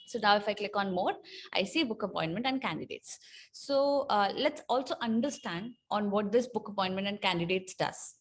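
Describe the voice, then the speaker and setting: A woman presenting an unscripted software tutorial, explaining step by step in a somewhat halting, hesitant way. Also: mid-range voice. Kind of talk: unscripted screen-share walkthrough.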